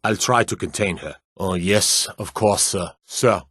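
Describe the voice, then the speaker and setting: An actor playing a stern, world-weary male town guard in a fantasy video game, speaking in a terse, gruff manner. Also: sits low, measured pace, a bit bright, no room sound, clean studio sound, heavily acted. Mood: formal, guarded. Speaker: game character voice.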